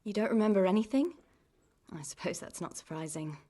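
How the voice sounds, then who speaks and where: A young medieval village woman, a miller's niece in a game drama. She is caring but world-weary, with a plain-spoken, gently chiding manner. Her pitch sits in the middle for a woman. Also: animated, fairly quick, husky. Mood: soft, concerned. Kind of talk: dramatic video game dialogue.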